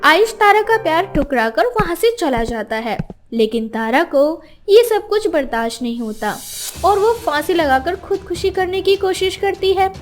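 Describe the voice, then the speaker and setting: High, animated woman storyteller. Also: normal speed, soft background music. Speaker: narrator. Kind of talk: scripted dramatic story narration.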